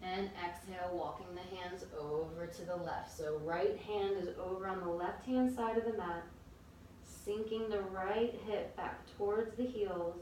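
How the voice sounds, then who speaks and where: A woman teaching a yoga class, calmly guiding breath and movement step by step. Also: medium pitch. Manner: calm, gentle cueing of breath and movement. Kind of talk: guided yoga instruction. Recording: very echoey room, distant mic, faint noise.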